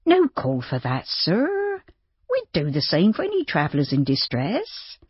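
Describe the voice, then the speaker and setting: A reader voicing a bustling, kindly farmer's wife who is motherly and brisk. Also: mid-range voice, huge pitch swings, husky, dry recording, lo-fi sound, pitched above the performer's own voice, exaggerated voice.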